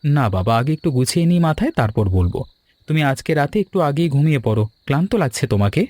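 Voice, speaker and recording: A man playing an earnest, secretive young son with fond memories and plans, in an animated story. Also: a little high, fast talker, close to an everyday voice. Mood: reassuring.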